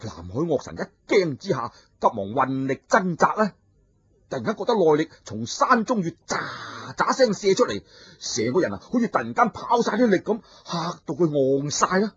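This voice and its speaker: A man's voice: on the high side, sing-song, moderate pace, fairly bright tone, breathy edge, dry recording. A man narrating a martial-arts tale in a dramatic traditional storytelling style. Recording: dull lo-fi recording.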